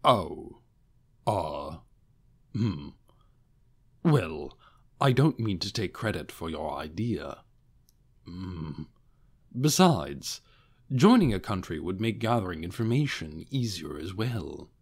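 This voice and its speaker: A man voicing an awkward, cautious male overlord who bluffs as a wise ruler in an audiobook, hesitant and measured. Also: low voice, sing-song, vocal fry, slightly rough, full character voice. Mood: flustered.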